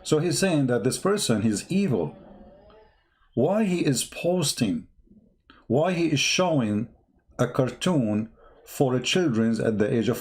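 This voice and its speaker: A man's voice: mid-range voice, a little mellow. Emphatic man, non-native English speaker. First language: not English. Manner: emphatic, repetitive, rhetorical questions. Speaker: commentator. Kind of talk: impassioned off-the-cuff news commentary.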